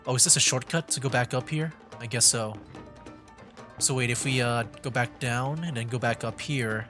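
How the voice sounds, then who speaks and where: A man's voice: mid-range voice, moderate pace. A man giving casual, rambling gameplay commentary as a streamer, thinking aloud as he plays. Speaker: game streamer. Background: game music.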